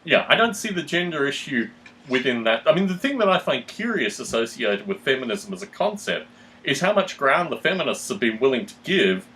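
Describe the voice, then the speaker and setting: A man's voice: mid-range voice, big pitch swings, a little fast, sharp tone, rough recording. A man hosting a discussion, speaking in long, articulate, analytical explanations with formal wording. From Australia. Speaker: podcast host.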